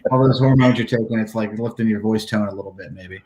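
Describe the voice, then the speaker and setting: A man with a lowish voice hosts a relaxed livestream, ribbing his friends and then moving the talk along with easy questions. His delivery is loose and conversational. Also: quick pace, rough recording. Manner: teasing, easygoing, steers the talk. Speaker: co-host of a motorcycle channel. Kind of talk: casual livestream chat.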